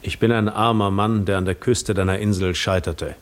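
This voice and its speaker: An actor playing a weary, guarded castaway in a 1950s radio drama, terse and measured. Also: male voice, fairly low pitch, fairly even intonation, natural voice. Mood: weary, firm. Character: weary, guarded shipwrecked male stranger, proud but humble.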